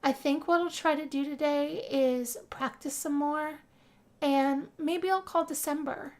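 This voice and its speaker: A woman's voice: high voice, fairly even intonation, measured pace, a little mellow. A woman playing a homesick, dramatic young female music student who complains theatrically in a game role-play narration. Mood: grumbling, musing.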